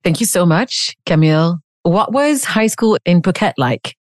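A woman's voice: fairly low pitch, animated, a little slow, no room sound, studio quality. A woman hosting a podcast, reading a scripted intro and then chatting with a guest in a curious, chatty way, laughing at herself now and then. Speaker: podcast host. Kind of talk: scripted intro then casual interview chat.

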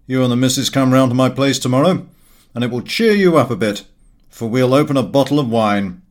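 A man voicing a boisterous, tactless, jovial friend in a comic audiobook, loud and bluff in manner. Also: mid-range voice, a little fast, lightly acted. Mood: hearty. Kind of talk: audiobook character voice, comic Victorian novel.

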